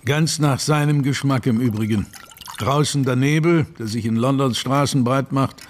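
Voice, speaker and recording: An actor playing a genial, worldly older London lawyer with an urbane, measured, wry manner in a radio play. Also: male voice, medium pitch, close to an everyday voice. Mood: convivial, wry. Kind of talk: German radio play dialogue.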